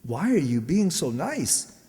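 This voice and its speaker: Radio play fugitive hero , acted by a man: wry, weary, impatient, unhurried pace with widely moving pitch. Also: big pitch swings, dark tone. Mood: puzzled, sardonic. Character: wry, weary man on the run, Richard Hannay, impatient and sardonic. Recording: echoey hall, long reverb.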